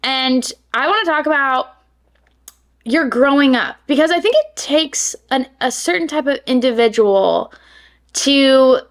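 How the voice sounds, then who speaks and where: A woman's voice: high voice, expressive, a little slow. A woman hosting a podcast interview, affirming her guest and asking open, curious questions. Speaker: podcast host. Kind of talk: conversational interview.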